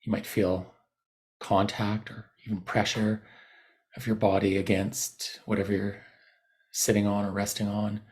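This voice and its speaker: A man guiding a body scan meditation in a soothing, unhurried manner, leaving long pauses between short phrases. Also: on the low side, little pitch movement, a little mellow. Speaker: meditation guide. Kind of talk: guided body scan meditation, unscripted instruction.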